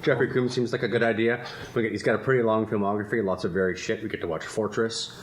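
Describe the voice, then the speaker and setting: Lower-voiced man hosting a chatty podcast. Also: steady tone, fast talker. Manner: rambling, laughs often. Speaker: podcast host. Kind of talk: casual chat. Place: room with friends. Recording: echoey room, faint ambient noise.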